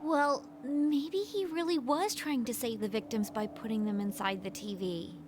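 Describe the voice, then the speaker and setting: A woman's voice: on the high side, normal speed, noisy background, close to an everyday voice. An actress playing a perky, scatterbrained, good-hearted teenage girl in a video game, talking in a casual, blurting way. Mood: hesitant, sheepish. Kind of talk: English video game dub acting. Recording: game music behind the voice.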